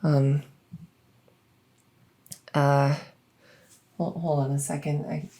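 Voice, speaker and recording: A woman's voice: sits low, little pitch movement, extremely slow, warm tone, heavily acted, poor audio. A woman playing a shy, socially awkward vampire in an ASMR roleplay, speaking hesitantly with anxious stammers. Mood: flustered, hesitant.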